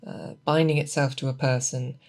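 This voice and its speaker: A woman playing a seasoned, patient monster hunter who teaches an apprentice in a soft, deliberate, questioning way, in a close-miked ASMR roleplay. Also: rumbling low, steady tone, vocal fry. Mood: explanatory, musing. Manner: deliberate, instructive, questioning, thoughtful pauses. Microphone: close binaural microphone.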